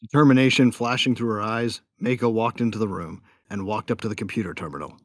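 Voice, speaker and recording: A man's voice: lowish voice, fast, dry recording, studio quality, close to an everyday voice. A man playing a cocky, wry bounty hunter who narrates his own story in an audio drama. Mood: relaxed. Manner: wry, self-satisfied. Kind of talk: first-person dramatic narration.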